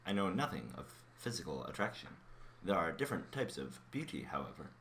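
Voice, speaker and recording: A man acting as a mind-reading robot in a radio play, calm and blunt, with a measured, formal delivery. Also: low voice, full character voice. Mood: calm, matter-of-fact. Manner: measured, matter-of-fact, formal. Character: mind-reading male robot, calm, blunt, curious about human emotions.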